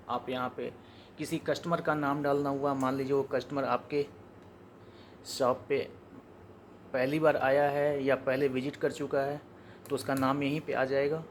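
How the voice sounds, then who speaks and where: An Indian man giving an informal step-by-step software tutorial, explaining as he goes and repeating his instructions. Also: mid-range voice, a little hiss or hum. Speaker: software demonstrator.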